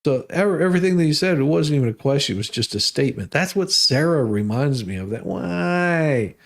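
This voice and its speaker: An American man talks casually in a gravelly, fry-edged voice. He wanders through his commentary as a host, with a salty, sardonic manner. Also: medium pitch, expressive, quick pace. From Detroit area, United States. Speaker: host of a humorous letter-reading show.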